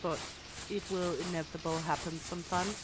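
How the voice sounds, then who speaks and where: A woman's voice: lowish voice, steady tone, a little fast. Chatty Danish-accented woman streamer, noisy. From Denmark. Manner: chatty, laughs often, talks to chat while playing. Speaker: gaming streamer. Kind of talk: casual livestream commentary.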